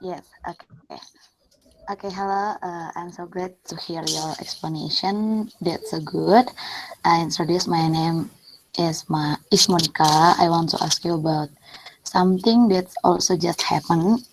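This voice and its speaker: A woman's voice: on the low side, fairly even intonation, a little slow, slight background noise, rough recording. A young woman with an Indonesian accent, carefully putting a prepared question in non-native English during an online discussion. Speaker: audience member asking a question. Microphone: video call.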